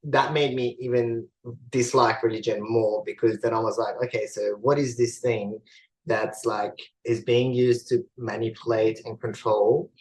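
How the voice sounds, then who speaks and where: A man's voice: mid-range voice, fairly even intonation, measured pace, a little mellow, poor audio. A man from Iran co-hosting a casual podcast, talking in a thoughtful way as he searches for his words. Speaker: podcast co-host.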